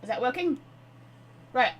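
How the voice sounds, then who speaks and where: A woman chatting casually and excitably as she livestreams a game, rambling to her viewers. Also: sits high, unhurried, husky. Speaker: live streamer. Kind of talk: casual game stream chat.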